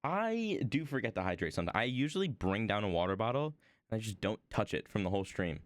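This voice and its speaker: Chatty man with a lively, swinging voice. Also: fast, no echo. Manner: rambling, self-deprecating, lively swings in pitch. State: hoarse. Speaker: art streamer. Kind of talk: casual stream chat. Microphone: desk microphone.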